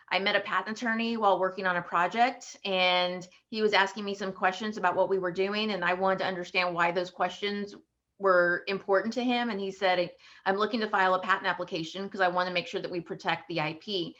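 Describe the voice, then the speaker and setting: Bright-voiced Texan woman, halting and hesitant. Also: on the low side, muffled recording. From Texas. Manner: halting, many ums and restarts. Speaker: panelist, patent attorney. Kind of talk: career story for students.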